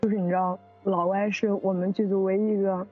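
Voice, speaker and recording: Chatty woman hosting group chat, talks fast, kinda husky, music in background. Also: medium pitch, level tone, phone-quality sound. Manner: chatty, prompting others with questions. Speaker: host of a drama cast discussion.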